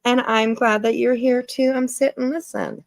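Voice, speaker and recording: A woman's voice: fairly high pitch, fairly even intonation, normal speed, vocal fry, no echo. A woman hosting a casual livestream, chatting informally to viewers in a rambling way and repeating her phrases. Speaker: livestream host.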